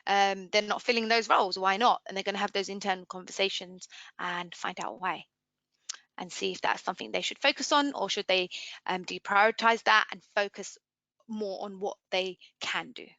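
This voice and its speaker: A woman giving an informal software demo as a webinar trainer, explaining conversationally and putting questions to listeners. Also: medium pitch, moderate pace, no room sound. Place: indoors, screen-share webinar voice-over.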